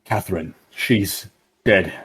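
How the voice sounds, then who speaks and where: A man's voice: low voice, takes it slowly, fairly bright tone, husky, no room sound, full character voice. A man voicing a solemn, formal bearer of grave news in an amateur game dub. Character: solemn, formal man announcing a death and will.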